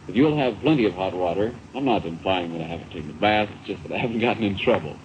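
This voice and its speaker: A man's voice: fairly low pitch, expressive, fast talker. An American man hosting a radio show with casual chat and wry asides, on an old aircheck recording. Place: radio studio. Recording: noisy, dull 1960s radio aircheck.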